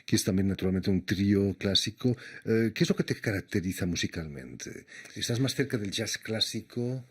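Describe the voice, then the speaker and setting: A man asks questions in a measured, curious way. His voice is somewhat low and slightly husky, with a breathy edge. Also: lively intonation. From Barcelona. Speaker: radio interviewer. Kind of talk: interview questions.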